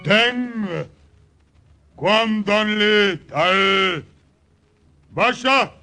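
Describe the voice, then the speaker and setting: A huge, fierce jungle chief from an old radio adventure. He speaks extremely slowly in terse pidgin fragments, fairly high in pitch, with music behind. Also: bright, forceful tone, heavily acted. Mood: fierce, commanding. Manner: broken pidgin English, terse, slow. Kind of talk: 1950s radio drama acting.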